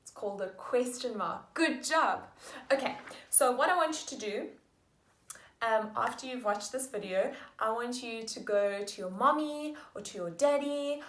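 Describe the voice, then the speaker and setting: A woman teaching young children, slowly sounding out sentences and praising them warmly. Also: on the high side. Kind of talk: slow, patient lesson for young children. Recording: echoey room.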